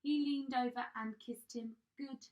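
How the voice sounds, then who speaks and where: A woman reading a bedtime story to young children like a teacher, coaxing them gently with an animated delivery, recorded some way from the mic. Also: sits high, unhurried, lo-fi sound. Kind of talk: children's storytime read-aloud with questions to listeners. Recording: voice distant from the mic.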